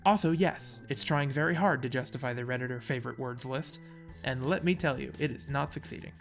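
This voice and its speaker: A man's voice: mid-range voice, fairly quick, gravelly, soft background music. A man narrating a casual scripted video essay with wry, sarcastic asides.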